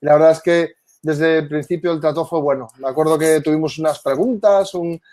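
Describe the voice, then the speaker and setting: A man's voice: fairly high pitch, steady tone, a little fast, a little mellow. A man in his thirties hosting a webinar interview over a video call, asking questions in a friendly conversational way. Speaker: webinar host. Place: indoor office.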